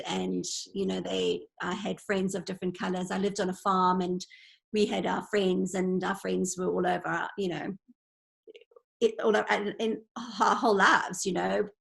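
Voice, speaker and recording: A woman's voice: medium pitch, creaky. A woman in her forties from Zimbabwe, telling stories in an earnest, reflective way as an interview guest on an online call. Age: 40s. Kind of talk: casual conversation. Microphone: video call.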